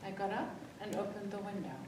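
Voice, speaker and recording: Woman doing story time for kids, expressive read, super echoey room, bit of noise. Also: fairly quick. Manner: expressive, acts out the dialogue. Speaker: library story-time reader. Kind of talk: read-aloud children's storytelling. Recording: echoey room, distant mic, faint rumbly noise.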